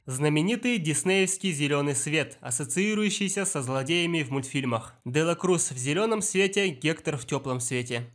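A man's voice: fairly high pitch, lively intonation, moderate pace, few pauses, a bit bright. A man narrating a film review, enthusiastic and analytical, on a voice-over mic. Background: film music.

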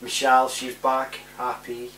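A man's voice: medium pitch, completely flat delivery, unhurried, a bit bright, roomy sound. British guy rambling casually at his webcam, bit far from the mic, faint hum. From United Kingdom. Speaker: vlogger. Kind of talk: casual chat to camera, giving shout-outs. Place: small room indoors at home.

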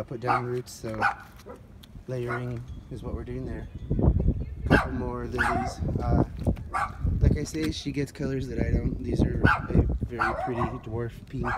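Man giving a casual tour, noisy outdoor recording. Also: medium pitch. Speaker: gardener giving a yard tour. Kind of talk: casual unscripted walk-through narration. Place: outdoors in a residential front yard, walking. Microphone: handheld phone held by the speaker. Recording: noisy outdoor phone recording, dogs around.

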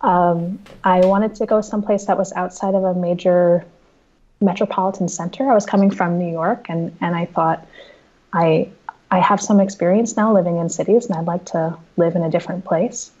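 A woman's voice: fairly low pitch, fairly even intonation, moderate pace, mellow, highs cut off. A woman in her thirties, an author answering reader questions from her home over a video call, thoughtful and often restarting her sentences. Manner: thoughtful, with frequent restarts and repeated words. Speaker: author guest. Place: home room with bookshelves. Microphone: video call with earbuds.

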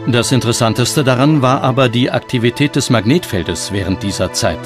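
Guy doing a scripted documentary voiceover, mid pitch, clear music behind him. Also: fairly quick. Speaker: documentary narrator.

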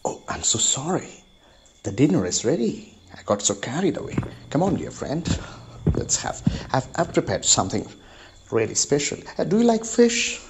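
A man's voice: mid-range voice, sing-song, moderate pace, vocal fry, a little hiss or hum, poor audio. A man playing a lonely, talkative old crofter in an amateur radio drama, effusive and hospitable, repeating himself. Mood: eager.

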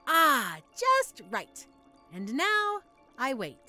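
A woman's voice: high voice, wildly swooping pitch, extremely slow, bright, forceful tone, faint music behind, full character voice. A woman playing a chatty, flustered young witch-in-training shopkeeper in an audio roleplay, with a theatrical, rambling manner. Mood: anxious, muttering. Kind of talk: scripted audio roleplay, addressed to the listener.

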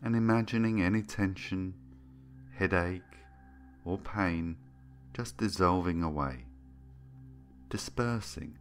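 Very deep-voiced man guiding a meditation. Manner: soothing, gentle, unhurried with long pauses. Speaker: hypnotherapist, meditation guide. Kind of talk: guided meditation narration. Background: background music.

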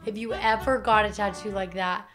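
A young woman with a hoarse, deeper-than-usual voice, co-hosting a casual reaction chat at a desk mic in a small home studio, giggly and reactive. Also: fairly even intonation, normal speed, over loud music. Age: young adult. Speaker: co-host.

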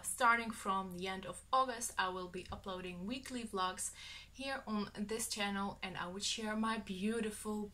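Chatty, bright-voiced young woman vlogging. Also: mid-range voice. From Lithuania. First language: Lithuanian. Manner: chatty, enthusiastic, many fillers. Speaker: vlogger, exchange student. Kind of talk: casual talk to camera. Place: bedroom, indoors. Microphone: camera on desk at close range.

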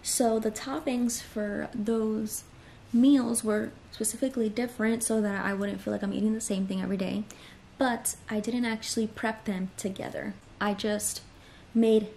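A woman's voice: fairly high pitch, creaky, dark tone, a little hiss or hum. A young woman in her twenties chatting casually about groceries and meal prep in a small apartment, talking with lots of fillers. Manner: chatty, filler-heavy, upbeat. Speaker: lifestyle vlogger. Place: small apartment living room.

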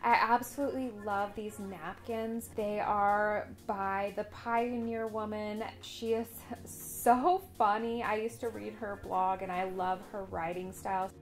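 A woman's voice: medium pitch, little pitch movement, a little slow, hardly any pauses, music in the background. A woman in her thirties chatting in a cheerful, friendly way while narrating a home vlog about party prep. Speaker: homemaking vlogger. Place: living room at home.

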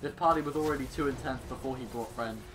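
Young guy doing casual gaming commentary, grumbly and rambling, game noise clearly behind him. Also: mid-range voice, fast talker. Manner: rambling, exasperated joking complaints with mild swearing. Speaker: gaming video host. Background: video game action sounds.

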